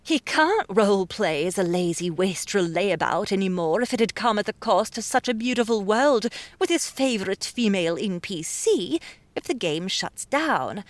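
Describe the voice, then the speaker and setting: Imperious princess played by a woman, brash and bossy, very animated pitch, dry studio sound. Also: normal speed, dry recording, natural voice. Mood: flowery, admiring. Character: bold, imperious princess gamer, flowery and impatient. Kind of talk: dramatized audiobook acting.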